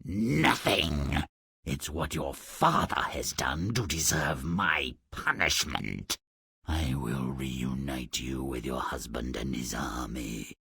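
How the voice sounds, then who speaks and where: A cruel fairy-tale game villain bent on revenge. His voice is deep and breathy, almost hissing in places, and slowly threatening. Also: animated, pushed and bright, dry recording, studio quality, full character voice. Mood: menacing. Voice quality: husky, breathy, low. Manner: slow, threatening. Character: cruel, scheming, vengeful male villain.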